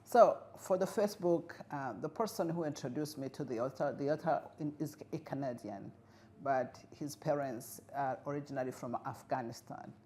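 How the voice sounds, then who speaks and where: A woman's voice: extremely low pitch, warm tone. Middle-aged Rwandan-born woman, earnest. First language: Kinyarwanda. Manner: earnest, reflective, pauses with fillers. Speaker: guest, refugee integration expert. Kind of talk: interview conversation.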